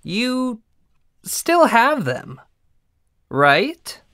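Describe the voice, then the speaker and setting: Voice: mid-range voice, huge pitch swings, very slow, a bit bright, no room sound, clean studio sound, exaggerated voice. An actor in a theatrical audiobook playing a cheeky young male thief with a playful, conspiratorial way of talking. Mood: checking. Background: background music.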